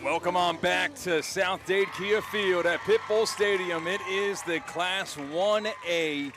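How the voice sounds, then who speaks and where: A man's voice: high-pitched, takes it slowly, over music. A man calling a live football broadcast as play-by-play announcer, with emphatic, wordy sportscaster phrasing. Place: outdoor stadium broadcast position. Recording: live stadium broadcast with crowd noise. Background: stadium crowd.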